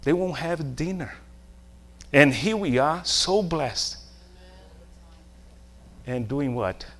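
A man's voice: fairly high pitch, lively intonation, slow pace, fairly bright tone. A middle-aged man from Brazil, a native Portuguese speaker with a Brazilian accent, preaching emphatically in short phrases and rhetorical questions. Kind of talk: sermon.

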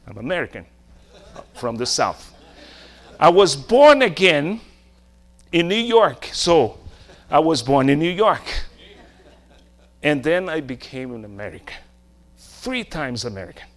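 A man's voice: fairly high pitch, very animated, a little slow, long pauses. A middle-aged man from Brazil, a native Portuguese speaker with a Brazilian accent, preaching emphatically in short phrases and rhetorical questions. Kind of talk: sermon.

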